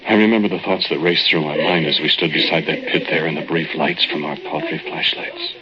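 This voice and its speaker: An actor playing a jealous, scheming murderer who narrates and pleads in a deliberate, dramatic old radio style. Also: male voice, very deep voice, steady tone, crowd noise. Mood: brooding, cold. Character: jealous, scheming male murderer, coldly confessing, falsely pleading. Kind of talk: 1940s radio drama acting, first-person narration. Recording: muffled old radio recording with music.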